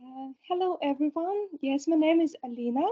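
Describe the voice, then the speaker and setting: A woman's voice: high-pitched, normal speed. A woman from Ukraine introducing herself carefully in class, with many hesitations. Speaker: student. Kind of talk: self-introduction. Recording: dull lo-fi audio.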